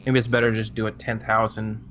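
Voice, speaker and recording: A man co-hosting a podcast in casual chat, agreeable and thinking out loud. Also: fairly low pitch, level tone, fast, lo-fi sound, slight background noise. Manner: agreeable, speculating aloud with short backchannels. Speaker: podcast co-host.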